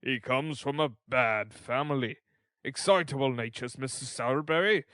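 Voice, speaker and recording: A man's voice: mid-range voice, moderate pace, pushed and bright, no room sound, clean studio sound, close to an everyday voice. A man voicing a pompous, stern parish beadle in a storybook reading, declaiming with self-important emphasis. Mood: pompous, grave.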